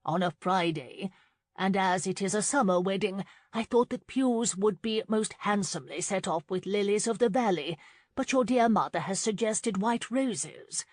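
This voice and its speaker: A woman voicing an imperious, impatient elderly lady who briskly decrees things in an audiobook novel. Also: mid-range voice, a little mellow, no room sound, studio quality, pitched above the performer's own voice, close to an everyday voice. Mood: brisk, officious.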